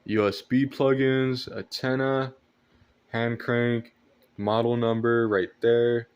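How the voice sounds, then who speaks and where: A man's voice: medium pitch, level tone, takes it slowly, darkish tone, clear, resonant tone, muffled recording. A young man giving a casual show-and-tell vlog about his collection, hesitant and rambling with repeated phrases. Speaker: collector presenting weather radios. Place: indoors at home at night.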